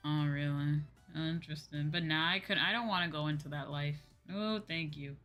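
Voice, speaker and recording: Young woman, VTuber-style streamer. Bright tone, rambling casual chat with repeated phrases, music playing behind. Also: sits low, moderate pace. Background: background music.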